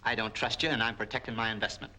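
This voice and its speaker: An actor playing a suspicious, tight-fisted small-time trader, American, blunt and slangy in 1940s film noir style. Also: male voice, on the low side, little pitch movement, racing, bright, forceful tone. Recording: noisy old 1940s film soundtrack.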